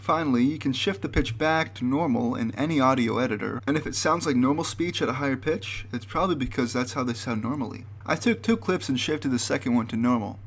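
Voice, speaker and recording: A man's voice: medium pitch, fairly quick, few pauses, a little hiss or hum. Man narrating a scripted explainer.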